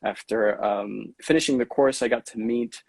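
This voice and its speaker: A young man from Venezuela, a guest artist telling his story in a relaxed, measured way with many fillers in a casual livestream chat. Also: fairly low pitch, creaky, slightly rough, no room sound.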